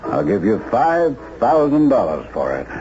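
An actor playing a shady, pushy businessman in a 1970s radio drama, speaking in a halting, insinuating way. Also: medium pitch, huge pitch swings, dark tone, faint music behind. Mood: scheming, pressing. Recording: dull vintage radio recording.

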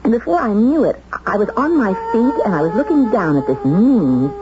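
An actress playing an earnest, long-suffering secretary who has turned bold, in 1970s radio drama style. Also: female voice, mid-range voice, big pitch swings, normal speed, lightly acted. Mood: indignant resolve. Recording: noisy, muffled 1970s radio recording.

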